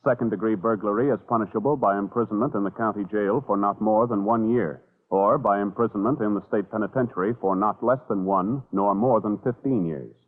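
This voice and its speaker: A man announcing in formal, measured, solemn 1950s radio style, reading scripted narration. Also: on the low side. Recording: narrow old radio broadcast, loud music. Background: loud orchestral music.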